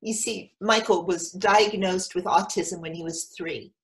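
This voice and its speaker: A woman playing an anxious, overeager mother of a teenager in an animated theatrical monologue, breaking into quoted dialogue. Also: lowish voice, measured pace. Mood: hopeful, nervous. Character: anxious, overeager mother of a teenage son, telling her story. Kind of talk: theatrical monologue, staged reading.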